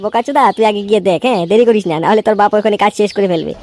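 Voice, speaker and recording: A woman voicing a gossipy, foul-mouthed young man in a comedy cartoon, tattling in a crude, excitable way. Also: mid-range voice, rapid-fire, slight background noise, lightly acted. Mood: annoyed, insistent. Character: gossipy, foul-mouthed bald young male club friend.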